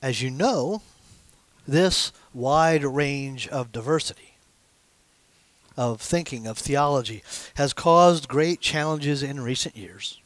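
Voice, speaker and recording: A man's voice: mid-range voice, slow, no room sound. A man in his sixties, a pastor giving a sermon at the pulpit of a small church, speaking in a conversational way and gesturing as he talks. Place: small church sanctuary with stained glass windows.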